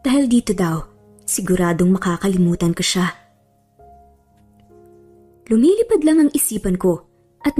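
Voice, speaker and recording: Woman reading as lovesick guy doctor, earnest and broody, thinking out loud, mellow, soft music under it. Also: mid-range voice, close to an everyday voice. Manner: reflective first-person musing.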